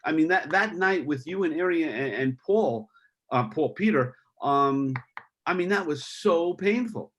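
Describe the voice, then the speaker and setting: Halting middle-aged man, higher voice. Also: lively intonation, fairly bright tone, lo-fi sound. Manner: halting, many restarts, heartfelt. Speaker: guest.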